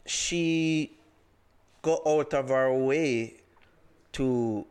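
A man talks in a loose, easygoing way on a podcast and mixes patois into his sentences. His pitch sits in a medium range. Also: drawn-out words, smooth. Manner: relaxed, mixes patois into talk. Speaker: podcast co-host. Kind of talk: casual chat.

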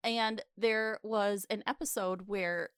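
A woman co-hosts a casual podcast in an effusive, emphatic way. She takes her time as she talks, at a middling pitch. The sound is dry and close, with no room around her. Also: steady tone, slow pace, a bit bright, clean tone, no room sound. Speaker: podcast co-host.

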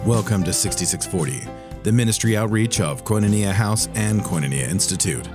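A man delivers a scripted programme introduction in an announcer's style. His voice sits fairly low, and guitar music plays clearly behind him. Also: animated, brisk.

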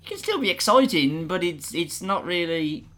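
A man's voice: high-pitched, lively intonation, normal speed, pushed and bright. A man co-hosting a casual film podcast, joking playfully and often trailing off mid-thought. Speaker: podcast co-host.

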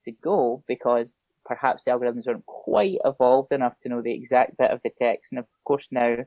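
A man's voice: mid-range voice, brisk, dry recording. A man with a Scottish accent, a guest chatting casually on a podcast with a lot of filler ums. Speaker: SEO guest. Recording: dull lo-fi podcast audio.

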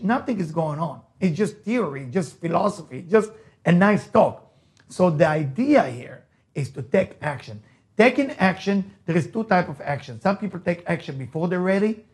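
A man's voice: a little high, darkish tone. A middle-aged man from Israel with an Israeli accent, a native Hebrew speaker, giving an emphatic, repetitive motivational talk.

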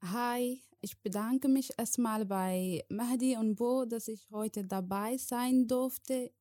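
Podcast guest: woman, 20s, from Somalia. Slow, hesitant, dry sound. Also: on the high side, dark tone, no room sound. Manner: slow, careful, plain sentences with hesitations. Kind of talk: personal testimony in a podcast interview.

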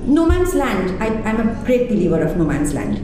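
A woman from India, speaking English as a second language, giving an animated interview with emphatic restarts. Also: mid-range voice, moderate pace, very echoey, background noise. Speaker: interview guest, arts and culture figure. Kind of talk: conversational interview.

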